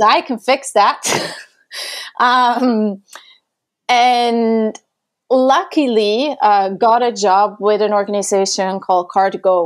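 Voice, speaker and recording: A middle-aged woman from Switzerland telling her story in a chatty way on a casual panel conversation, laughing often. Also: medium pitch. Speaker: transport organisation founder.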